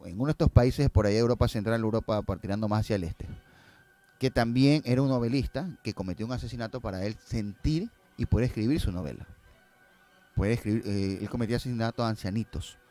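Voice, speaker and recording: A man's voice: mid-range voice, fast, darkish tone. A man speaking as a guest filmmaker in casual conversation, explaining and telling stories, often repeating phrases for emphasis.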